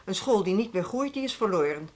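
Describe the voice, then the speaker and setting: A woman with a Netherlandic Dutch accent playing a firm, put-upon music school head in a radio drama, brisk and argumentative. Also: mid-range voice, lively intonation, a little mellow, lightly acted. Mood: defensive. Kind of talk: naturalistic radio play dialogue.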